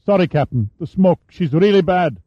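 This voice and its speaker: A man voicing an eager seventeen-year-old boy serving as a ship's gunner, in a high, youthful voice. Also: lively intonation, moderate pace, no echo. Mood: earnest. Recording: dull, dry studio sound.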